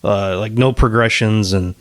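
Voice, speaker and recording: A man co-hosting a podcast, talking casually about bands in halting sentences, often restarting and swearing offhand. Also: lowish voice, fairly even intonation, dry recording. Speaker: podcast co-host. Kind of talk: casual chat about music.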